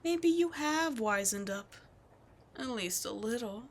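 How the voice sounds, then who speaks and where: A scheming, seductive demon queen, played by a woman in an audio drama. She speaks slowly and teasingly, letting her taunts linger and slipping into breathy whispers. A faint ambient hum sits behind her voice. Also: on the high side, animated. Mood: taunting.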